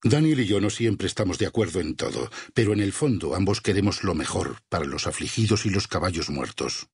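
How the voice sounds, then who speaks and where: Casting: devout, vengeful warrior preacher for a video game. Deep, extremely raspy, Castilian Spanish accent, solemn persuasion. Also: male voice, lively intonation, a little mellow, no room sound, clean studio sound, full character voice. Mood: solemn, urgent. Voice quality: husky, gravelly low voice. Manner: solemn, measured, sermon-like persuasion. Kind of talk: dramatic video game dialogue.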